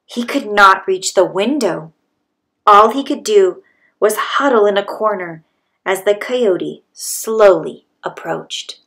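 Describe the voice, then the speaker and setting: A woman's voice: medium pitch, expressive, takes it slowly, fairly bright tone. A woman reading a children's story aloud as a storybook narrator. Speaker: storybook reader.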